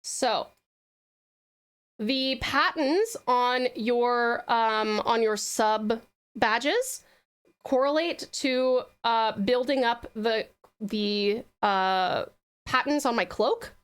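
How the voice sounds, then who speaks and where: A woman's voice: fairly high pitch, extremely slow, clear, resonant tone. A woman hosting a casual livestream, chatting sweetly and effusively with her viewers and using lots of endearments. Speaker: livestreaming virtual streamer host.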